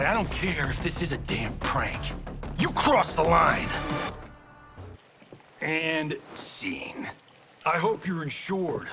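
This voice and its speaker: An actor playing a cocky, glib Hollywood action star in a video game, with an American accent and a sarcastic, theatrical manner. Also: male voice, a little high, sharp tone, exaggerated voice. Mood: indignant. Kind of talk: cinematic video game dialogue.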